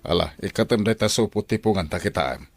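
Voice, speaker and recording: A man playing a stern but fair uncle in a radio drama, admonishing and expressive, with an Ilocano Filipino accent. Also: low-pitched, brisk, soft background music. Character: stern, fair-minded uncle, middle-aged head of household.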